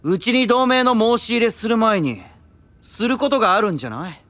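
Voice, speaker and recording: An actor playing a sly, needling young knight mage who can turn commanding, in a fantasy audio drama. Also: male voice, sits high, lively intonation, a little fast, dry recording. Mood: cool, pointed. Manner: playful needling that turns forceful and commanding. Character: young male knight mage, sly, taunting, relentless interrogator. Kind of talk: anime-style fantasy audio drama acting.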